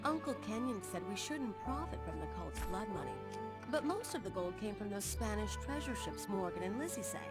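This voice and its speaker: A woman with an American accent playing a bold, wry, determined young adventurer in a video game cutscene, with brisk, resolute delivery. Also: mid-range voice, very animated, loud music behind the voice, natural voice. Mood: reflective, wry.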